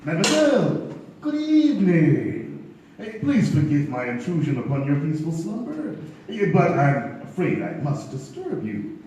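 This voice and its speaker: An actor playing a suave, menacing gambler and killer with florid, mock-courteous speech in a live stage radio play. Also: male voice, fairly high pitch, huge pitch swings, moderate pace, a little mellow. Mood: oily politeness. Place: theater stage before an audience. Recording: echoey theater, distant mic, background noise.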